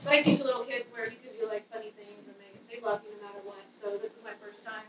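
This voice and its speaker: A woman in her thirties nervously sharing a personal testimony to a youth group, with a loud voice and many ums. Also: medium pitch, fairly even intonation, fast talker, background noise. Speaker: guest speaker giving a testimony. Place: dim church youth room with an audience. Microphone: handheld mic, far camera. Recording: roomy hall sound from a distance.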